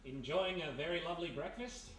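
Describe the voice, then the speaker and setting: A man's voice: on the high side, brisk, a bit bright, natural voice. Man acting flippant streetwise gumshoe, wry, casual, old-time radio mystery, roomy sound, faint noise. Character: flippant, streetwise American male private detective. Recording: echoey live stage, distant mic, faint noise.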